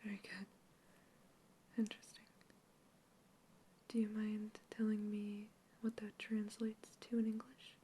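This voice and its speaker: A young woman whispering slowly and gently in a sci-fi ASMR roleplay, asking calm interview questions like a careful researcher. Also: medium pitch, slight background noise, poor audio. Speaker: ASMR creator.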